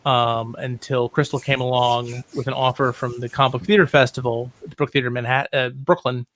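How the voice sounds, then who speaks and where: A man chatting casually as a guest writer in an interview, wry and joking with a halting flow. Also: medium pitch, fairly even intonation, fairly bright tone.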